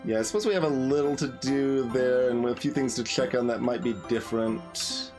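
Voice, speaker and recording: A man's voice: medium pitch, normal speed, over music. A man giving casual commentary on a game playthrough, musing and thinking aloud as he goes.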